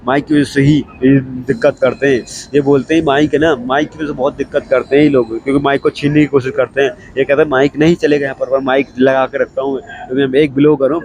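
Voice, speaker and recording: A hoarse young man with a cold, chatting casually to camera as a vlogger and repeating himself often. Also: mid-range voice, a little mellow, a little hiss or hum, poor audio. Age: young adult. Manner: chatty and repetitive, keeps saying okay. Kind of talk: casual vlog talk to camera. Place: railway station platform, outdoors. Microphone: clip-on mic.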